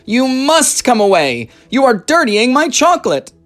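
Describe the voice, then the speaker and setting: A woman's voice: high voice, sing-song, a little slow, pitched above the performer's own voice. A woman voicing an eccentric male chocolate factory owner in an emphatic, theatrical manner. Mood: alarmed.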